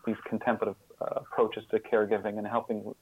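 A man answering as an interview guest in an earnest, conversational way, halting often and restarting as he searches for words. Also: on the low side, flat delivery, breathy edge, dry recording, phone-quality sound. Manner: halting, hesitant, searching for words with repeated false starts. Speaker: podcast guest, author and caregiving mentor.

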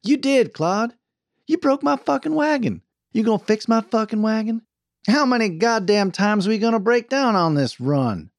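A man's voice: fairly high pitch, very animated, normal speed, dry recording, studio quality, pitched above the performer's own voice. A man voicing a brash, foul-mouthed frontier woman who bosses a wagon train with a bossy drawl in a Western story. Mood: furious. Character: brash, foul-mouthed cowgirl wagon boss, hot-tempered but soft-hearted.

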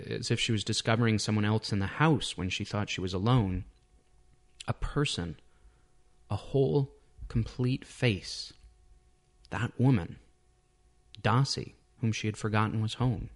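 A man narrates literary fiction from a script. His voice sits fairly low, and he reads as the author of the piece. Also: unhurried. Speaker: author reading own short story.

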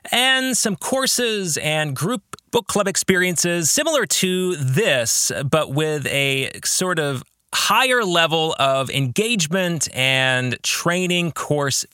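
A man's voice: a little high, bright, forceful tone, dry recording, clean studio sound. A man in his forties hosting a podcast as an author, speaking in an emphatic, conversational monologue. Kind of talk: podcast monologue introducing a book club.

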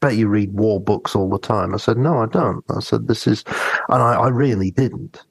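A man co-hosting a podcast, talking casually about books and telling personal anecdotes in a hesitant, reflective, halting way. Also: fairly low pitch, vocal fry, breathy edge, no room sound. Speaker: podcast co-host.

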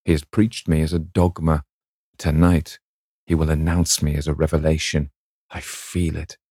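A man's voice: very deep voice, level tone, moderate pace, mellow, slightly rough, no room sound, clean studio sound, full character voice. A man voicing a young actress, dreamy and lovestruck, speaking in a breathless, rapturous way.

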